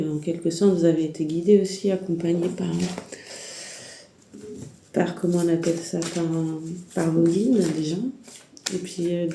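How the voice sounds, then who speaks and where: A woman with a stuffy head cold and a sore throat talks through a tarot reading in a low-energy, dark, gravelly voice that barely rises or falls. She restarts her phrases and hunts for words as she speaks directly to the listener. The voice bounces around an echoey room. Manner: hesitant, rambling, many restarts and fillers. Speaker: tarot reader. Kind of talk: spiritual guidance monologue to the viewer.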